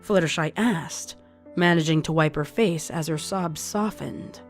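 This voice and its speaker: Casting: female story narrator, lowish pitch, reading scripted fiction over music. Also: unhurried. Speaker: narrator, fan fiction reader. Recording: music under the voice.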